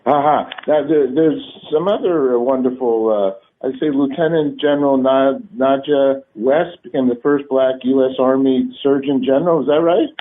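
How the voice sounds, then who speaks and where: A man's voice: medium pitch, normal speed, hardly any pauses, slight background noise. Chatty, jokey man hosting over a narrow line. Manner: chatty, jokey, stumbling with many fillers. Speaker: radio show host. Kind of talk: casual radio interview. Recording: narrow phone-like broadcast sound.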